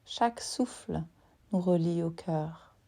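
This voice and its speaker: A woman guides a meditation in a slightly low, warm and relaxed voice. Her delivery is very slow and soothing. She speaks in short phrases separated by long, unhurried pauses, as a yoga nidra teacher would.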